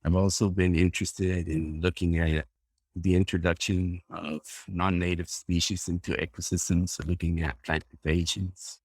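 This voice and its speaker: A man's voice: low-pitched, flat delivery, normal speed, dry recording. A man from Rica, a guest scientist talking casually in an interview, hesitant with many fillers and restarts. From Costa Rica. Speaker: guest plant ecologist.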